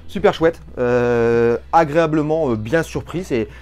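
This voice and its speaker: A man's voice: fairly high pitch, a little slow, a little mellow, smooth, soft background music. A man hosting an informal product demo, chatting unscripted and often hesitating and repeating himself. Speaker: video host.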